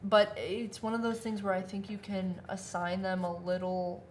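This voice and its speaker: Female co-host, even monotone, patient and steady when explaining. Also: medium pitch, normal speed, slight background noise. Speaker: co-host explaining game rules. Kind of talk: casual rules explanation.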